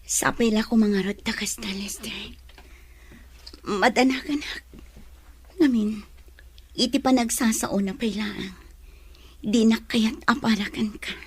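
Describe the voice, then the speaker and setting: A woman playing a gentle, anxious young single mother with a breathy voice in an Ilocano audio drama. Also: on the high side, a little slow, pauses often. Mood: worried.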